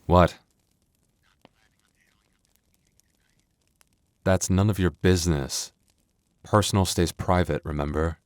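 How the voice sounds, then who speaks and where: A man's voice: deep voice, steady tone, moderate pace, no echo, studio quality, lightly acted. A man playing a young werewolf boyfriend, tender but protective with a tough edge, in an intimate ASMR roleplay. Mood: cold, threatening.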